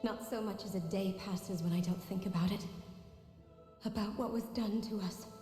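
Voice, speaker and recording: A woman playing a remorseful young maiden with prophetic visions, speaking in a solemn, measured, regretful way in a video game monologue. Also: medium pitch, steady tone, mellow, natural voice. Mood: solemn, haunted. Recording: long echoing reverb over background music.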